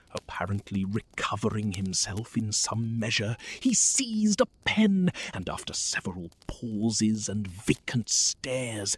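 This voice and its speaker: An actor narrating an audio drama as the detective's astonished male friend, in a breathy, dramatic way. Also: medium pitch, full character voice.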